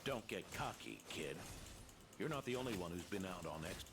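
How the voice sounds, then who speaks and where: A wry, steady old knight, low and lively, game cutscene with background noise. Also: male voice, normal speed. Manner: dry, reassuring. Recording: streamed game audio with noise.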